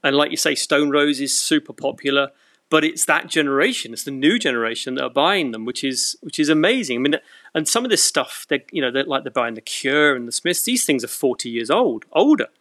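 A man's voice: a little high, expressive, fairly quick, fairly bright tone, no echo, clean studio sound. A British man in his fifties chatting casually as a podcast guest, telling anecdotes with wry, self-deprecating humour. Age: 50s. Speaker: podcast guest, record shop owner.

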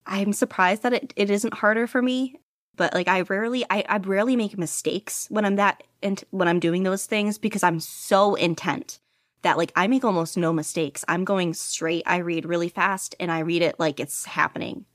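A woman's voice: medium pitch, steady tone, normal speed, no echo, clean studio sound. A woman guest on a casual podcast interview, talking eagerly and laughing often. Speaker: podcast guest, audiobook reader.

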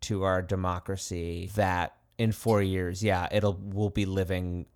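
A man's voice: deep voice, little pitch movement, unhurried, a little mellow. A man co-hosting a podcast in casual conversation, often repeating words and restarting his sentences. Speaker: podcast co-host.

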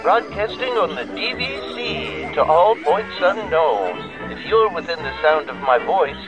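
A man's voice: fairly high pitch, expressive, measured pace. A man voicing a showy jungle cruise skipper who announces like an old-time radio broadcaster. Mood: grandiose. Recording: lo-fi intro with music behind.

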